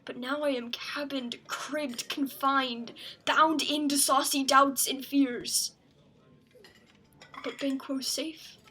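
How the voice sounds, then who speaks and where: A young woman acting a brooding, tormented, murder-plotting king in Shakespearean verse, moving between hushed and declamatory delivery. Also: high voice, steady tone, takes it slowly, a little hiss or hum, heavily acted. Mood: anxious, tormented. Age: young adult.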